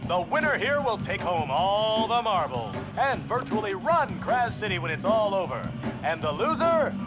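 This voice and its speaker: A man's voice: high voice, big pitch swings, moderate pace, music in the background, low-quality recording. A man playing a villainous race commentator and crime boss in a video game, theatrical and bombastic like a showman. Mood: showy, excited. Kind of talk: video game acting, sports announcer delivery.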